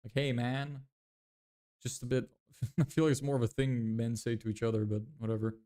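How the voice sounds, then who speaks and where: A young man in his twenties from Lithuania talks through his plans on a livestream, stopping to answer viewers as their questions come in. His voice is smooth and clear at an ordinary middle pitch. He thinks aloud and often corrects himself partway through a sentence. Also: little pitch movement, moderate pace, mellow. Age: 20s. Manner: thinks aloud, self-correcting, frequent fillers. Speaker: livestreamer building a business. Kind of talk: casual livestream chat reading viewer questions.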